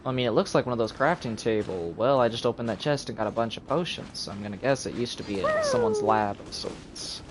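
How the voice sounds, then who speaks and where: A man's voice: medium pitch, huge pitch swings, fairly quick, hardly any pauses, noisy background. A man giving casual live gameplay commentary as a game streamer, thinking aloud as he plays. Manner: thinking aloud while playing. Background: game music.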